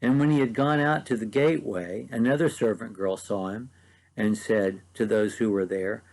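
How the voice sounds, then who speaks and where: A man's voice: fairly low pitch, fairly even intonation, normal speed, darkish tone, poor audio. A man with an Ohio Valley accent giving a short devotional Bible teaching in an earnest, conversational way, with frequent ums. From mid-Ohio Valley, USA. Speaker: preacher.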